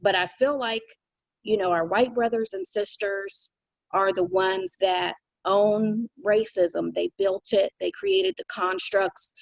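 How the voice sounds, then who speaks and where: A woman's voice: medium pitch, creaky, no echo. A woman speaking earnestly on a video call, thinking aloud with frequent restarts and "you know.". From United States. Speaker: community call participant. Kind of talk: casual reflective talk on a video call. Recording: dry, dull video call audio.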